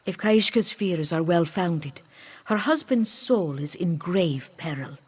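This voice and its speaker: A woman's voice: fairly low pitch, lively intonation, slow pace, husky, full character voice. A woman voicing a solemn cat-folk priestess in a fantasy game, speaking formally of herself in the third person. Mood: grave, earnest. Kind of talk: scripted fantasy game dialogue. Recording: background music under the voice.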